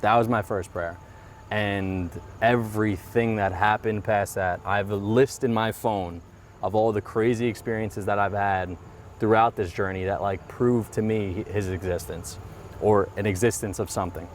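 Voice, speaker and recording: A man's voice: fairly low pitch, steady tone, moderate pace, a little hiss or hum. A man in his thirties talking earnestly and reflectively in a casual interview, often repeating his phrases. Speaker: guest, mortgage business owner. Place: outdoors by a parked car.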